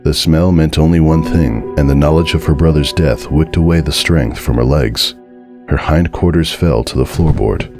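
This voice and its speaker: A man giving a dramatic, scripted narration of a horror story in a measured, deliberate and suspenseful way. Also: rumbling low, over music.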